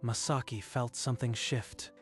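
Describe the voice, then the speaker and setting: A man reading scripted story narration in a measured, literary storytelling manner. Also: mid-range voice, level tone, soft background music.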